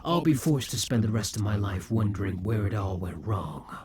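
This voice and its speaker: A man playing a vengeful, defeated demon king in an audio roleplay, taunting and grandiose, with a husky, breathy voice that often drops to whispers. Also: lowish voice, expressive, darkish tone, slight background noise. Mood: bitter, brooding. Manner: grandiose, menacing, deliberate dramatic delivery. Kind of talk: scripted audio roleplay monologue.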